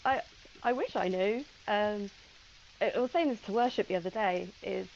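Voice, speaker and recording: A woman's voice: mid-range voice, moderate pace, gravelly, darkish tone, highs cut off, a little hiss or hum. A British woman from the west of the UK, with Welsh touches in her accent, chatting casually as a channel moderator and laughing often. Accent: British, mixed western and eastern English with Welsh touches. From western UK. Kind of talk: casual livestream chat.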